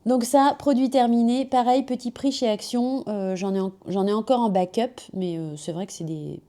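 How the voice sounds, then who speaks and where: A woman from France, a beauty vlogger, chatting casually about finished products at home, slightly hoarse from a cold, with lots of fillers and self-corrections, on a small clip-on mic. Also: on the high side, normal speed, mellow. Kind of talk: casual product review chat. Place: at home with a machine running nearby. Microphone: small magnetic clip-on mic.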